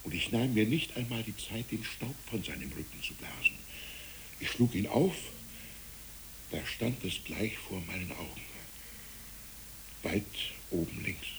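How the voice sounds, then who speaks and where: Deep, creaky, troubled village pastor. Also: male voice, animated, a little slow, pauses often, a bit bright, heavily acted, low-quality recording. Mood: agitated. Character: troubled, gentle old village pastor, fond of children. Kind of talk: German literary radio play acting. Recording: hissy old radio play recording.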